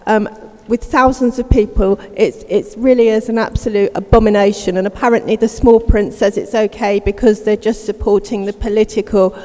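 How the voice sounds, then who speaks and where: Female delegate with a fairly high voice, earnest and strongly felt, in a hall with long reverb. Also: a little mellow. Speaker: meeting member. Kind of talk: speaking up at a meeting.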